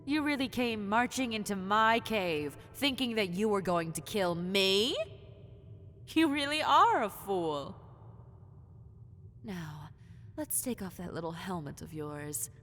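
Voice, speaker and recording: Casting: woman to play possessive snake-woman monster for roleplay audio. Low, unhurried, seductive and condescending, dropping into whispers. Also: a bit bright. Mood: offended, scornful. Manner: condescending, seductive, drawn-out taunting, slipping into breathy whispers. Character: possessive, mocking female lamia, half-snake monster, teasing predator. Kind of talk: roleplay audio drama, addressed to the listener. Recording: long cave-like reverb, faint noise.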